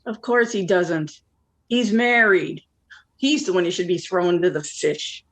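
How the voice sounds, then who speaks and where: A woman's voice: lowish voice, measured pace. A woman acting a blunt, lonely forty-nine-year-old who probes with wry questions in a naturalistic play. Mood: wry, nosy. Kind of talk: naturalistic one-act play dialogue.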